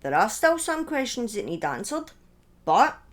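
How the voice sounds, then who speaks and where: A woman narrates true crime as if chatting to followers. Her intonation is very lively, moving widely up and down. She breaks her phrases up and steps out of the script for informal, opinionated asides. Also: on the high side, unhurried. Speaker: true-crime storyteller, streamer. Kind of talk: conversational true-crime storytelling, part scripted.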